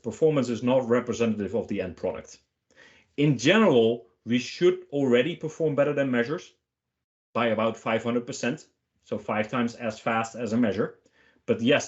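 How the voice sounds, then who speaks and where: Man, mid-pitched voice, casual tech presenter, joking and emphatic, dull lo-fi meeting audio. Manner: informal, joking, emphatic short phrases. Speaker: featured speaker answering questions. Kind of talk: casual online tech talk with Q&A.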